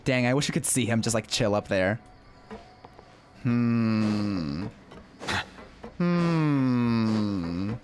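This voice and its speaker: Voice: medium pitch, expressive, drawn-out words, long pauses, a little mellow, soft background music. A streamer giving casual, playful, reactive commentary while playing a game.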